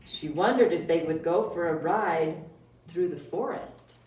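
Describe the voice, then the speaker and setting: A woman reading a picture book aloud to young children as a storytime librarian, with drawn-out words and dramatic emphasis. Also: fairly low pitch, darkish tone. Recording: roomy echo, distant mic, faint noise.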